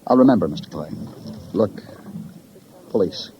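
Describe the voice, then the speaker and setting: Dry American detective, old radio sound. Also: male voice, low voice, expressive, moderate pace, slight background noise. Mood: probing, dry. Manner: terse, probing questions, wry. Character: probing, dry, world-weary male police detective. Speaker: actor playing a police detective. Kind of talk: 1950s American radio crime drama acting. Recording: muffled vintage 1950s radio recording.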